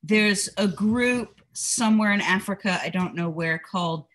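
A woman's voice: mid-range voice, measured pace, a bit bright. A woman chatting casually on a video call, sharing a tip with enthusiasm.

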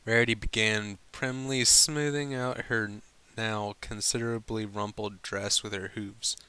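A man reading fan fiction aloud as a storybook narrator, with some halting stumbles over the lines. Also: lowish voice, fairly even intonation, pushed and bright, smooth.

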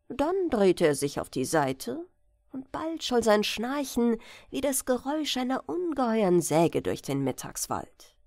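A woman reads a bedtime fairy tale as an audiobook narrator. Her pace is slow and her pitch moves in lively swings, in a close, dry recording. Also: no room sound.

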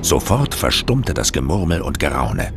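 Ein Mann erzählt mit rauer, knarrender Stimme dramatisch eine Horrorgeschichte als Hörspielerzähler. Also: male voice, extremely low pitch, huge pitch swings, moderate pace. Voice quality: gravelly. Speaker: narrator. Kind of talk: dramatic scripted horror audiobook narration. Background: loud music.

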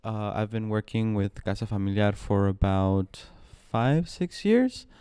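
Mellow, slow-spoken young man. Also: on the low side, expressive, clean tone, dry recording, clean studio sound. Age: young adult. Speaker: panel co-moderator, gallery assistant and artist. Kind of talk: informal self-introduction. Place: indoor room, seated panel. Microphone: handheld microphone.

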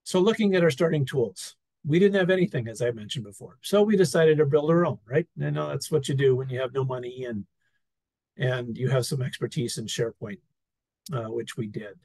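A man presenting an experience report over slides on a video call, talking in a relaxed, wry way with fillers and restarts. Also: mid-range voice, fairly quick, dry recording, rough recording. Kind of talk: conversational talk over slides.

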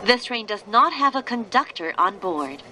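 Woman, highish bright voice, scripted train announcements, formal, careful diction. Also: very animated, poor audio. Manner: formal, measured, carefully enunciated. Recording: train announcement with faint vehicle noise. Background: moving train noise.

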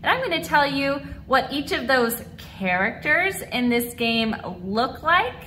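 A woman dance teacher speaks in a high, very bright voice at an unhurried pace. She explains things to children in an animated, encouraging way, pronouncing each point clearly. The recording picks up some noise in a studio. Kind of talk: instructional video lesson for children. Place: large mirrored dance studio indoors.

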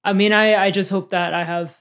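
A young woman co-hosting a podcast in a small room, talking in a relaxed, reflective way and laughing often, close to a studio microphone. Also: medium pitch, fairly quick. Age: young adult. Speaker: podcast co-host. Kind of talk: casual chat. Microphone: boom mic on stand.

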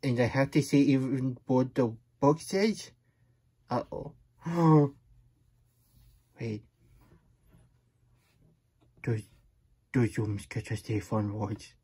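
Puppet story-time host: childlike, grumbly hedgehog played by a man, husky and mellow, stammering, fretful asides. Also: medium pitch, expressive, very slow, long pauses, heavily acted. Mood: reluctant, fretful. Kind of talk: puppet read-aloud with chatty asides.

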